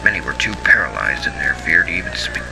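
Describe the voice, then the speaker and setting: A man's voice: extremely low pitch, big pitch swings, fast, creaky, over music, full character voice, low-quality recording. A man playing a grave agency spokesman, narrating a scripted analog horror broadcast in a solemn, formal announcer's manner. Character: grave male spokesman for a monster-response agency. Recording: heavy radio-like background noise.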